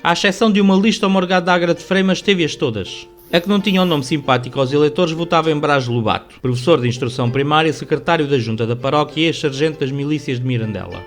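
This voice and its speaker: A man's voice: a little high, fast talker, hardly any pauses. A man with a European Portuguese accent reading scripted literary narration for a radio drama. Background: soft music.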